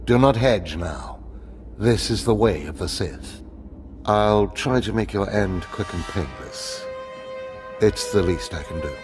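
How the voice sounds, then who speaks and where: An actor playing a proud, resigned Sith overseer in a video game, speaking with solemn, deliberate drama. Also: male voice, on the low side, expressive. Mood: stern, resigned. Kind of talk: video game cutscene voice acting. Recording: loud music under the voice.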